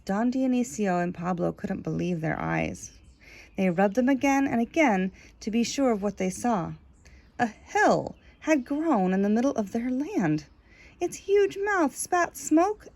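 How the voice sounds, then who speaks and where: A woman's voice: fairly high pitch, darkish tone. A woman reading a children's story aloud expressively, stretching key words for emphasis. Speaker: storybook reader. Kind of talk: expressive read-aloud narration of a children's book, with casual asides.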